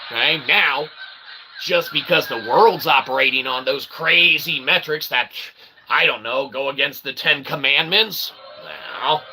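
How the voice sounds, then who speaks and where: A very sharp, forceful male voice with a gravelly edge, pitched a little high. He hosts a livestream in a rambling, wordy monologue, mock-pompous and self-mocking. Some noise sits behind the voice. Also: a little slow, low-quality recording. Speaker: livestream host. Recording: noticeable background noise.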